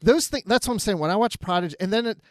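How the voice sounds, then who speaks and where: A male podcast co-host with a fairly high voice for a man, chatting casually. He wonders aloud and acts out his own thoughts as he goes. The sound is very dry, without room echo. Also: expressive, very fast. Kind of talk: casual discussion of a TV show.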